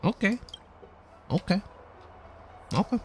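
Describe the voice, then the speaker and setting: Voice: mid-range voice, expressive, slow, darkish tone. A livestreamer giving casual, offhand commentary while playing a game, reacting aloud to the dialogue. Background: game music.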